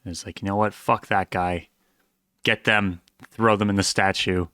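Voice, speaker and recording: A man's voice: fairly low pitch, steady tone, moderate pace, sharp tone, smooth, no echo, studio quality. A man giving casual, wry reaction commentary with joking asides, as the host of a reaction video.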